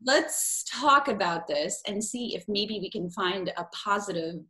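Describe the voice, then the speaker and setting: A woman's voice: medium pitch, animated, measured pace, pushed and bright, rough recording. Woman of Portuguese background, talk show host, friendly and chatty, mid-range voice. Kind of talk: interview.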